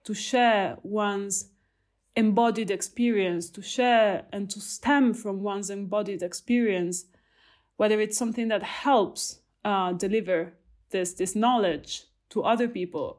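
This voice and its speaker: A young adult woman from Italy with an Italian accent, talking thoughtfully about her research, with many pauses and restarts. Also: medium pitch, a little mellow. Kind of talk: reflective conversational talk.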